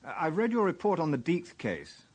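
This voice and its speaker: A man's voice: a little high, huge pitch swings, a little fast, a little mellow, no echo. An actor playing a weary, dry-witted male police inspector in a radio drama, wry and understated. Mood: weary, curt. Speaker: narrator and lead actor. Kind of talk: radio drama acting and first-person narration.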